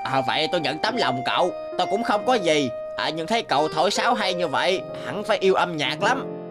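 A man voicing a small, kindly old bearded man, gentle and grateful, in an animated fairy tale. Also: on the high side, fairly quick, over music, natural voice.

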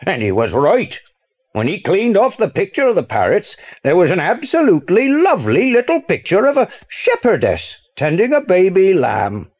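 An excitable, high-voiced British art dealer. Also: male voice, highs cut off. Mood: rueful, recounting. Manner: excitable, theatrical, well-spoken. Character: eccentric, hot-tempered middle-aged male art dealer. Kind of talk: audio play acting for children.

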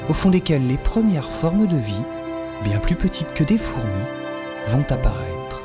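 A man playing the melodramatic narrator-hero of a comic science-fiction radio drama, with a husky voice. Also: mid-range voice, big pitch swings, a little slow, music in the background. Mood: grandiose.

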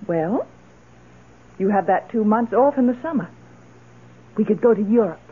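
A self-assured, wealthy middle-aged widow from a radio play. She speaks decisively at a medium pitch, as someone used to arranging things. The sound is a narrow, muffled old broadcast. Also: lively intonation, moderate pace, slightly rough. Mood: confident, reassuring. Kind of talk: radio drama acting.

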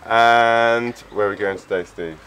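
A young man in a band giving relaxed, wry tour updates to a handheld camera. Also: on the low side, measured pace, noisy background. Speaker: band member. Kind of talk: casual video diary. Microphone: wide-angle handheld camera. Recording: music playing over the voice.

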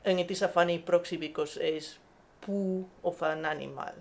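A woman speaking as a podcast guest in casual interview style, telling stories haltingly and often repeating words. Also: fairly low pitch, steady tone. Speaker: podcast guest, marine scientist.

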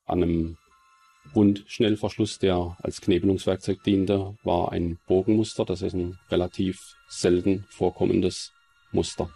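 A man's voice: low voice, little pitch movement, unhurried, vocal fry, warm tone, breathy edge, faint music behind. A man explaining formally as a forensic police expert in a documentary interview, in dry official wording.

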